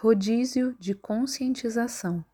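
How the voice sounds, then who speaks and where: A woman leading a guided yoga nidra relaxation in a calm, measured, instructive way. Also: on the high side, slightly rough. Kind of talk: guided relaxation meditation narration.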